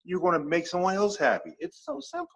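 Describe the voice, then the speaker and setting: A man speaking as a podcast guest in a casual interview, animated and stacking long repeated lists as he talks. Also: sits high, brisk, husky.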